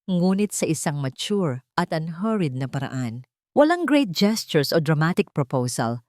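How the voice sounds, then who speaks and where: A woman's voice: low-pitched, very animated, measured pace, a little mellow, no echo, clean studio sound. A woman with a Filipino accent narrating a scripted first-person audio drama.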